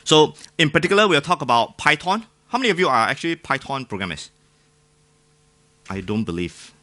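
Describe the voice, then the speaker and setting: A man's voice: on the high side, very animated, fairly quick, sharp tone. A man with a Singaporean accent giving an informal, joking technical talk to a live audience, often putting questions to them. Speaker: conference speaker.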